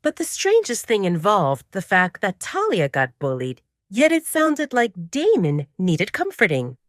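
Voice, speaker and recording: A woman narrating scripted romance fiction in an expressive, dramatic storytelling manner. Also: mid-range voice, unhurried, dry recording, studio quality.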